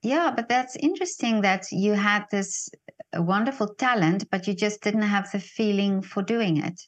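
Brief: female interview host, mid-pitched, friendly and a little halting. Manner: friendly, halting questions with fillers. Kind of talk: interview questions. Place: Vienna.

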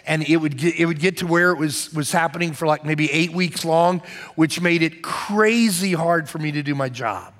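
A man's voice: on the high side, moderate pace, fairly bright tone. A man giving a conversational sermon as pastor, repeating his points for emphasis. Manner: conversational preaching with emphatic repetition.

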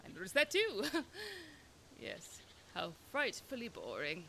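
A woman's voice: high voice, huge pitch swings, takes it slowly, pushed and bright, a little hiss or hum, heavily acted. A woman playing a smug, eccentric, clever detective with a wordy, theatrical manner in a comedy audio drama. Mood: wry, amused.

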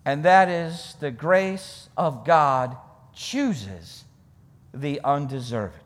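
High-voiced male preacher in a reverberant hall. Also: animated. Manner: emphatic, deliberate phrasing with repetition for weight. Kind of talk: sermon with scripture reading.

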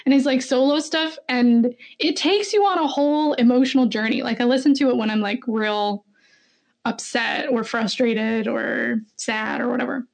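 A woman's voice: a little high, fairly even intonation, moderate pace, creaky. A young woman guesting on a podcast, gushing as a fan in casual chat. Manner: gushing, effusive fan talk.

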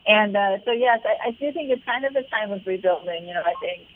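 A woman's voice: medium pitch, fairly quick, a little hiss or hum. Woman interview guest on a phone-like line. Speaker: arts organisation leader, interview guest. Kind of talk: conversational explanatory radio interview. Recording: narrow phone-like radio sound.

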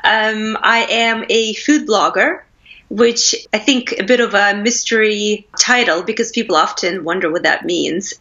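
A woman's voice: mid-range voice, measured pace, sharp tone, muffled recording. A woman from Russia, a food blogger guest in an interview, talking in a friendly, easy way and laughing often. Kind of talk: casual interview chat.

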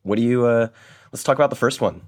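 A man's voice: mid-range voice, fast talker. A young man hosting a podcast, his voice a bit worn, talking casually with many hesitations and restarts. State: voice worn after a late party. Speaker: podcast host.

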